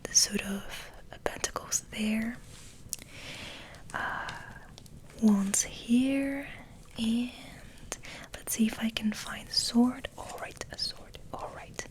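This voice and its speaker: A woman hosting ASMR, rambling in a soft, breathy, hesitant whisper. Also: fairly high pitch, takes it slowly, rough recording. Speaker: ASMR video host.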